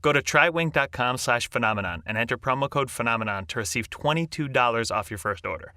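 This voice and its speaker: A man's voice: medium pitch, expressive, quick pace, pushed and bright, no echo, studio quality. A man reading a scripted podcast sponsor advertisement.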